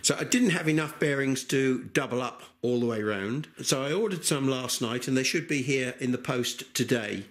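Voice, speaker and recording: An older man, his voice croaky from a cold, talks through a hands-on workshop build in a methodical, patient way. His mid-pitched voice rings a little in an echoey room. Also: moderate pace, hardly any pauses. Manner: methodical, explains step by step with frequent "okay" and "um". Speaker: maker demonstrating a build. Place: workshop bench.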